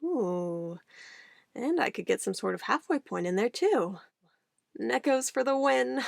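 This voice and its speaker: A woman's voice: a little high, animated, measured pace, vocal fry, no echo, studio quality, exaggerated voice. A woman playing an obsessive, possessive witch in an ASMR roleplay, sweetly menacing and cooing in an intimate, theatrical way. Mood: playful, giddy. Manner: intimate, cooing, theatrical, shifting between soft whispers and firm commands.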